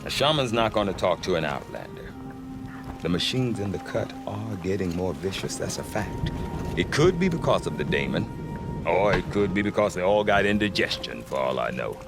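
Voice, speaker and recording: Lively, low-voiced wry frontier trader. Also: male voice, normal speed, vocal fry, music in the background, heavily acted. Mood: wry, grumbling. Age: middle-aged. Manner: wry, talkative, hesitant when choosing words. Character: wry, grumbling male frontier trader, a chatty father. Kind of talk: video game dialogue acting.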